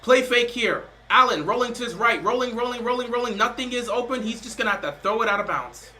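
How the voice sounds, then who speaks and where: A young man doing casual play-by-play commentary on a live stream, excitable, calling each play and then chatting loosely. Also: very high-pitched, quick pace. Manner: excitable, calls plays then chats loosely.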